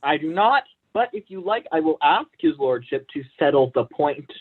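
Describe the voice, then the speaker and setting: A man's voice: a little high, big pitch swings, normal speed, husky, narrow, tinny audio. A young man, a student actor, playing a pompous, vain, blustering poet and husband in a staged reading of a period comedy, speaking with stiff formality. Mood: stiff, indignant. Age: young adult.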